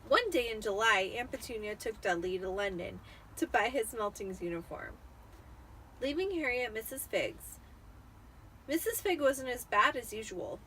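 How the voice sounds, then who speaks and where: A tipsy woman reading a novel aloud in a theatrical, expressive storytelling style. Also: fairly high pitch, moderate pace, recorded from a distance, a little hiss or hum, rough recording. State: tipsy from wine. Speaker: amateur storybook reader.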